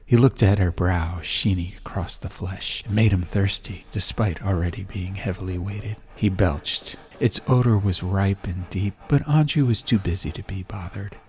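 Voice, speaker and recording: A man's voice: low voice, normal speed, few pauses, a little mellow. A man reading an atmospheric fantasy audiobook as a scripted storyteller. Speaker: audiobook narrator. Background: music.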